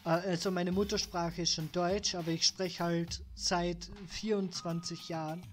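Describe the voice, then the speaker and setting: A woman's voice: fairly low pitch, little pitch movement, a little slow, faint music behind. A woman in her twenties with a Styrian Austrian accent, reading game text aloud and chatting on a live stream. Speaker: let's play streamer.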